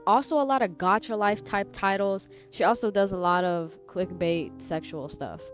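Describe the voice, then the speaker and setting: A woman's voice: mid-range voice, moderate pace. A woman giving casual video commentary in an informal, opinionated way. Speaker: YouTube commentator. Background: music behind the voice.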